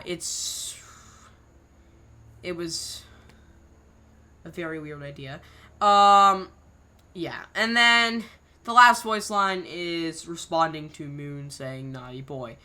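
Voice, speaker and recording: A woman's voice: fairly low pitch, fairly even intonation, extremely slow, pauses often, sharp tone. A woman hosting her channel, chatting casually through scrapped voice lines, rambling with slang exclamations. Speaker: channel host.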